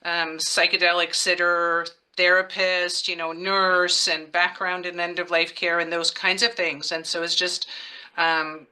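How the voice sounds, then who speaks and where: A woman's voice: lowish voice, little pitch movement, a little slow, sharp tone, smooth, rough recording. A woman from the Czech Republic, a nurse and psychedelic therapist, asking a question in a rambling, enthusiastic way. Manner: enthusiastic and rambling, many "you know" fillers. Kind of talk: Q&A comment.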